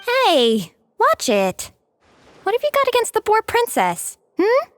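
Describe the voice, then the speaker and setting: A woman voicing an excitable, bookish and cheeky little girl in an anime-style video game dub. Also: extremely high pitch, slow, faint music behind, exaggerated voice. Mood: miffed. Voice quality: girlish, childlike.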